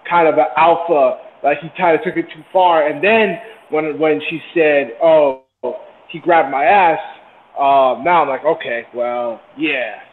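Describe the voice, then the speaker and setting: A man in a casual podcast chat who thinks out loud at a measured pace. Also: a little high, steady tone, big-room sound, phone-quality sound, slight background noise. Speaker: podcast co-host.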